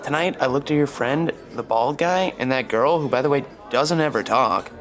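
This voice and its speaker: A man's voice: medium pitch, normal speed, natural voice. A man playing a pushy flirty guy at a party. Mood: pleading. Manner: wheedling, persistent.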